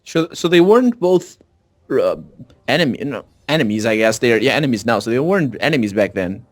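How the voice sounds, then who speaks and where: A man chats casually through a co-op game as its commentator, his pitch swinging in a lively way. He sounds questioning and a little hesitant, thinking aloud into a close mic in a dry space. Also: a little fast, no echo. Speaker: gamer commentating a co-op playthrough. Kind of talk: casual Let's Play commentary.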